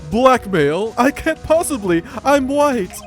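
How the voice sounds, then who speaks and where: A man's voice: extremely high pitch, very animated, slow pace, pitched above the performer's own voice, exaggerated voice. A man voicing a pompous, condescending and menacing businessman villain in an amateur video game dub. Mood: feigned innocence. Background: game music.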